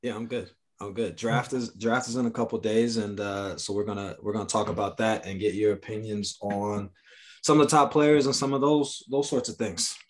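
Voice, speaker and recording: A man with a lowish voice hosts a relaxed sports podcast. He talks loosely, full of fillers, and laughs often between points. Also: normal speed. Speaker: podcast host. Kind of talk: casual sports podcast chat.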